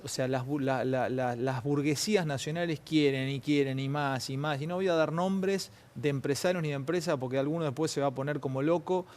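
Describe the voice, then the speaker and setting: A male TV host with an Argentine accent, colloquial and pushy. He fires casual, slangy questions at his guest. His voice sits a little higher than most men's. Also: a little mellow. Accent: Argentine Spanish. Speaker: TV talk show host. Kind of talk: informal TV interview. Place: TV studio.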